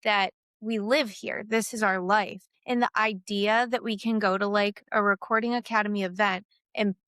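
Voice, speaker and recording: A woman's voice: mid-range voice, fairly even intonation, moderate pace, a bit bright, dry recording, clean studio sound. A woman musician chatting casually in an interview, laughing easily and trailing off mid-sentence. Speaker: musician guest. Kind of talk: casual conversation.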